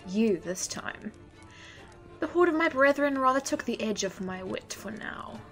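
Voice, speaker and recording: A scornful tusked judge. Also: female voice, a little high, animated, measured pace, fairly bright tone, faint music behind. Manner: scornful, theatrical. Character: tired female tusked judge, scornful and theatrical. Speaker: afterlife judge. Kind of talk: audio drama acting.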